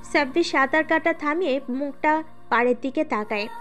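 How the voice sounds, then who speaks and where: A woman's voice: sits high, normal speed, a bit bright, faint music behind. A woman reading scripted story narration as the narrator of an audio tale.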